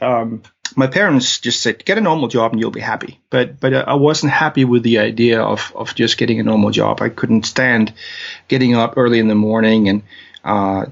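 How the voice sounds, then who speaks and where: Danish guy being interviewed, gravelly, kinda dull lo-fi sound, lots of ums and restarts. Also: on the low side, steady tone, moderate pace. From Denmark. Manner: hesitant, many fillers and restarts. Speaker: guest. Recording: dull lo-fi audio.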